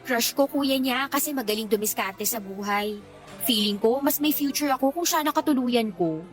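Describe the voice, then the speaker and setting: A woman's voice: a little high, normal speed, faint music behind, natural voice. A woman playing a candid, defensive young mother in a radio drama, dissatisfied with her lot. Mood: fed up, dreamy. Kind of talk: Tagalog radio drama dialogue.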